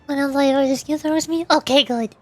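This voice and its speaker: A woman's voice: high voice, fairly even intonation, brisk, soft background music. A young woman streamer chatting in a playful, teasing way and laughing often. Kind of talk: casual chat while voicing game lines.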